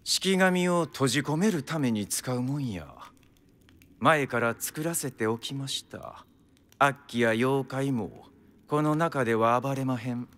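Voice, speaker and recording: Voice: medium pitch, unhurried, fairly bright tone, close to an everyday voice. An actor playing a composed, courtly exorcist official with a Kyoto accent, polite and reserved, in a radio drama.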